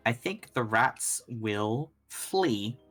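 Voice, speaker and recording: A game master narrating and making rulings in a casual, halting way during a tabletop game. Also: medium pitch, expressive, a bit bright, soft background music.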